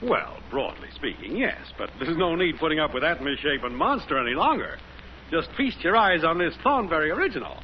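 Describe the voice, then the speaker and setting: Boastful neighbor, wildly swooping delivery. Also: male voice, on the high side, big pitch swings, brisk. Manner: pompous salesman-like flourishes. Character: boastful, breezy, teasing neighbor man, smugly self-satisfied. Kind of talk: 1950s radio sitcom acting. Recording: muffled, hissy 1950s radio recording.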